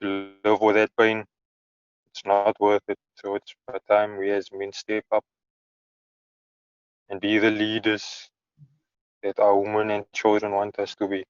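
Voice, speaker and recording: A man's voice: on the low side, completely flat delivery, unhurried, long pauses, no echo, muffled recording. A man, a grieving father, speaking earnestly and pleadingly on a call. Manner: earnest, pleading, stresses his words. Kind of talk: call conversation.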